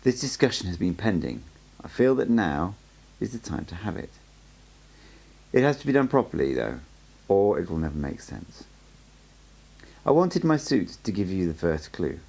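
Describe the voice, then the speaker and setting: A man voicing an old male sorcerer teacher who speaks calmly and wryly, in a measured, instructive way. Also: deep voice, creaky, husky, full character voice. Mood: earnest.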